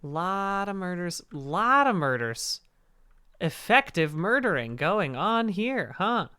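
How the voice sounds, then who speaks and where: A man with a slightly hoarse voice, chatting casually and rambling to fill space on a game stream. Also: sing-song, slow pace, sharp tone, no room sound, studio quality. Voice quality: hoarse, raspy low register. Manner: rambling, fills space with talk. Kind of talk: casual chat while gaming.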